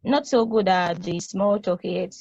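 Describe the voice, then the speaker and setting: A woman's voice: medium pitch, unhurried, vocal fry, darkish tone, no echo. A woman acts out a sentient space probe with a big-sister streak. She is blunt, casual and teasing, yet protective. The sound is dry and a little dull, like a modest home microphone. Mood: friendly, brisk. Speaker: actor in a table read. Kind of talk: play table read, conversational acting.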